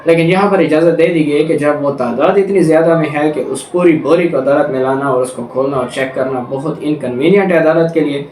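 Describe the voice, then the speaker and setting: A man's voice: on the high side, darkish tone. A man with a Pakistani accent giving an explanatory law lecture, mixing in English terms as he goes through clauses and examples. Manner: reads English clauses slowly, then explains with examples, code-switching. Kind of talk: explanatory lecture, reading statutes then explaining. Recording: echoey room with clear background noise.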